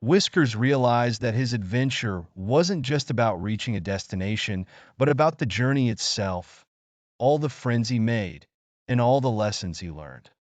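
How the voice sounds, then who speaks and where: A man's voice: medium pitch, animated, moderate pace, no room sound. A man narrating a scripted children's bedtime story as a storyteller.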